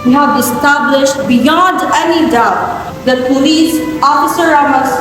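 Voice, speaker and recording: Girl doing a solemn filipina prosecutor, slow high voice, music behind, big echo. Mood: solemn, earnest. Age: young adult. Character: solemn, deliberate female prosecutor making closing arguments. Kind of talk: amateur stage courtroom drama, oratory. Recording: very echoey hall, music behind.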